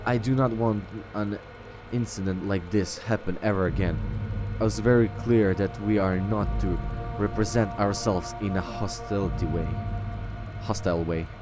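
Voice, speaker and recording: A man's voice: fairly low pitch, steady tone, normal speed, over music. A man reading game text aloud and role-playing, often stumbling over his words. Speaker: let's-play roleplay host.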